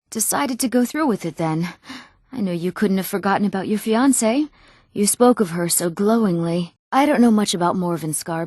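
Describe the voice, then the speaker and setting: A woman's voice: mid-range voice, darkish tone, dry recording, natural voice. Woman voicing an earnest young fantasy townswoman, dry recording. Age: young adult. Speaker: video game voice actress. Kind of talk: scripted fantasy game dialogue.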